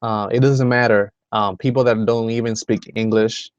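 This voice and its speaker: A man's voice: on the low side, steady tone, normal speed, smooth, no echo, muffled recording. A man from the Dominican Republic hosting a motivational video call, talking in a rambling way with many fillers and restarts. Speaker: host.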